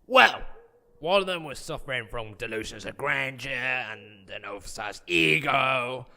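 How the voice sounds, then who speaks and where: A man voicing a shifty, excitable little gnome potion seller in a fantasy cartoon, squawky and halting, repeating words and bursting into shouts. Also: mid-range voice, gravelly, heavily acted. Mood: gleeful, conniving.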